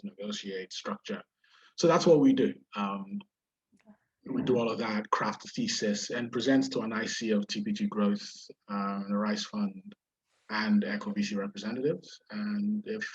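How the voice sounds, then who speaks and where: Nigerian-British accented man, low and husky, halting delivery with fillers, dull lo-fi audio. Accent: Nigerian with British influence. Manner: hesitant, many fillers and restarts. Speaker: venture capital investor. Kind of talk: webinar interview. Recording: dull lo-fi sound.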